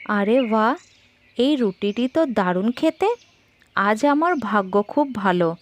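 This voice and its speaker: A woman's voice: a little high, expressive, takes it slowly, a little hiss or hum, pitched below the performer's own voice. A woman voicing a foolish, vain female crow in a children's cartoon story. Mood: pleased. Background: music.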